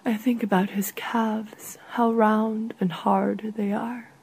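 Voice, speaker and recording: Woman with a husky, creaky voice. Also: medium pitch, flat delivery, slow, a little mellow. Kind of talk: literary short story narration.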